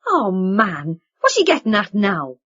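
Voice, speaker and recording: Spluttering older dad read by a woman, loud and agitated, in a dull recording. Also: mid-range voice, big pitch swings, measured pace, husky, no room sound. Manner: exclaiming, spluttering. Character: agitated, blustering older father.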